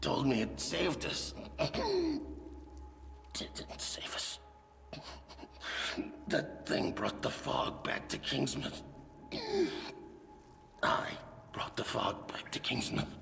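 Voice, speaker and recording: A man voicing a haunted sailor turning monstrous in a video game, speaking haltingly in a gravelly, rasping voice that breaks into whispers. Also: mid-range voice, a bit bright, full character voice. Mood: guilt-ridden. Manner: halting, tormented, intense. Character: haunted sailor slowly changing into something monstrous. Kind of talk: dramatic cutscene monologue. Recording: cavernous reverb with noise.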